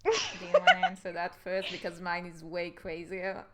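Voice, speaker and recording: A woman from Israel with an Israeli accent, chatting casually on a stream in a relaxed, dry, matter-of-fact way. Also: lowish voice, normal speed, slight background noise. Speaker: animator and stream host.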